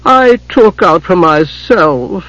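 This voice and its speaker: Scheming god for fantasy audiobook, read by a man: greasy, smooth, wheedling, pitched up and swooping. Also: expressive, takes it slowly, a bit bright, highs cut off, heavily acted. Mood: defensive whining. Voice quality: oily, smooth. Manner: slick, wheedling. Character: greasy, slick, large male god, whining schemer.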